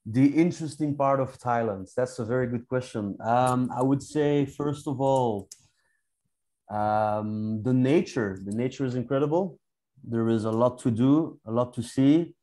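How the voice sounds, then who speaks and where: A man in his late twenties from Belgium, answering questions in a measured, thoughtful way on a video call. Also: mid-range voice, warm tone. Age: 20s. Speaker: exchange student. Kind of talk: casual video call chat.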